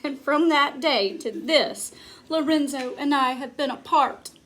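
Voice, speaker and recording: Whiny, theatrical young princess, as a woman reader puts her on, pitched high. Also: measured pace, fairly bright tone. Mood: mournful. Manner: wailing, melodramatic.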